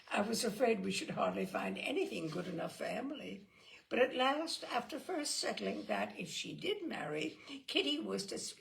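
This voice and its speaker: Expressive woman reading a classic letter. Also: fairly high pitch, vocal fry, a little hiss or hum, rough recording. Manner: expressive, lively storytelling. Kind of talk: literary reading aloud.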